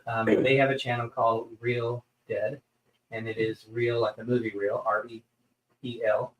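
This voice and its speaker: A man's voice: medium pitch, little pitch movement, moderate pace, reverberant, poor audio. A man co-hosting a live stream, chatting casually with frequent ums and uhs and a teasing streak.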